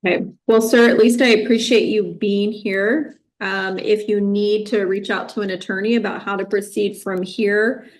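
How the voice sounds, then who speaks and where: A woman with an American accent speaks from the bench as a judge. Her voice is of medium pitch. She is formal and measured, explaining rulings firmly in unscripted courtroom speech. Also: steady tone, rough recording.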